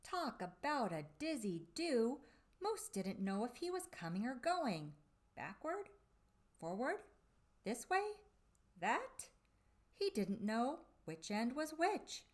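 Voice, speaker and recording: A woman reading a children's picture book aloud expressively, with theatrical drawn-out emphasis and dramatic pauses. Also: fairly high pitch, very animated. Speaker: storybook reader for children.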